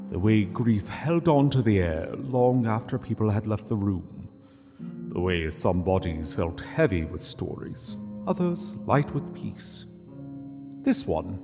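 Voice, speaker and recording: Male narrator, solemn, unhurried, dramatic pauses, scripted story, long reverb, faint music behind. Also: lowish voice.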